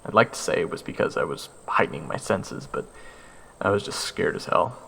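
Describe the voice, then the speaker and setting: A man narrating a first-person fantasy story in a theatrical way, with dramatic pauses. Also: sits low, animated, gravelly, slight background noise. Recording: loud music under the narration.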